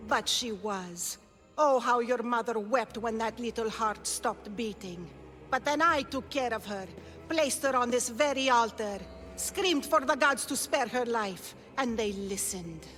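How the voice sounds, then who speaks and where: A woman's voice: fairly high pitch, a little slow, fairly bright tone. A woman voicing a sadistic, gloating cult priestess in a theatrical, menacing manner for a video game cutscene. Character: sadistic, gloating cult priestess who claims stolen children. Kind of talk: dramatic game cutscene acting. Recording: long reverb, music behind.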